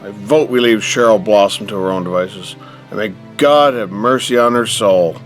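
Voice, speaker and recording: A man's voice: lowish voice, moderate pace, natural voice. An actor playing a stern, pompous, wealthy middle-aged father with a formal, commanding manner in an audio drama. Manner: formal, imperious. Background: music behind the voice.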